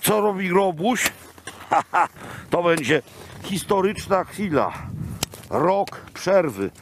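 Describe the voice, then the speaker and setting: A man delivers a playful, mock-grand monologue outdoors in a slow, very animated voice. He savours his words, with some gravel in the tone and noisy location sound around him. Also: sits high. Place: outdoors on a mountain top.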